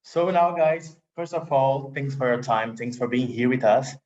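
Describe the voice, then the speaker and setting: A man's voice: mid-range voice, moderate pace, a little mellow, highs cut off. A man from Brazil with a Brazilian Portuguese accent, a recruiter presenting a webinar in an upbeat way and speaking to the audience as "guys". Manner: upbeat, addresses the audience as "guys", slightly non-native grammar.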